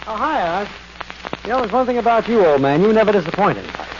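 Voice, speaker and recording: A man's voice: high-pitched, big pitch swings, fast talker. A man playing a dry, needling neighbour and pal in an old radio sitcom, sardonic in delivery. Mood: dry teasing. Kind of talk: 1940s-50s radio sitcom acting. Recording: noisy, dull old radio recording.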